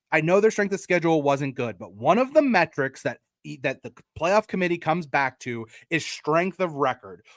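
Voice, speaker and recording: A man's voice: fairly high pitch, fairly bright tone, no room sound, clean studio sound. A man co-hosting a sports podcast, arguing emphatically about college football in casual, sometimes profane language. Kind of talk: opinionated college football debate.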